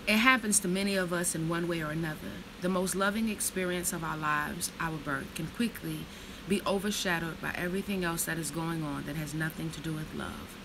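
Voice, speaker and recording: A woman in her thirties reads slowly and reflectively from a book, then slips into blunt, profane, casual storytelling. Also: on the low side, background noise. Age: 30s. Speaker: vlogger reading from a book.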